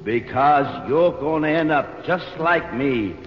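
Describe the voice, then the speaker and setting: An echoing, wisecracking radio ghost. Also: male voice, fairly high pitch, expressive, slow. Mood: earnest warning. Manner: slangy, tough-guy, matter-of-fact. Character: wisecracking, chain-laden male ghost of dead business partner. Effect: ghostly reverb. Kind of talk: 1940s radio acting. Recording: muffled old radio, heavy echo, music behind.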